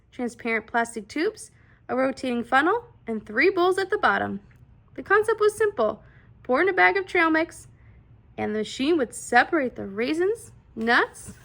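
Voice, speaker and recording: High-pitched woman reading a children's storybook. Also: vocal fry, sharp tone. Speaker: children's read-aloud host. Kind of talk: expressive storybook narration for children.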